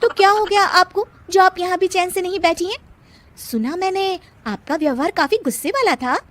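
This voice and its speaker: Woman playing a high-pitched cheeky young daughter-in-law. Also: normal speed, fairly bright tone, no echo. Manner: sassy, outspoken.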